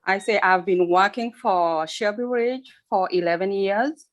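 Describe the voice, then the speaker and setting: Slow-paced Kenyan woman. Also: medium pitch. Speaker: nurse and job fair panelist. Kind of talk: informal testimonial and question answering over a video call.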